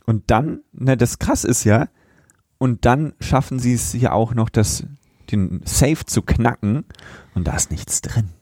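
A man's voice: mid-range voice, unhurried, mellow, no echo, clean studio sound. A man co-hosting a podcast, chatting casually in a review, wry and matter-of-fact. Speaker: podcast co-host.

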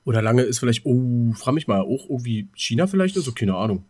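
A man with a mid-pitched, husky voice, chatting as a podcast co-host. His talk is loose and colloquial, and he often repeats words while thinking out loud. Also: very animated, quick pace. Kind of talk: casual podcast chat.

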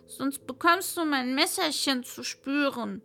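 A woman's voice: high voice, unhurried, gravelly, bright, forceful tone, voice pushed up, full character voice. A woman voicing a wild, bossy robber girl in a read-aloud fairy tale, brash and teasing.

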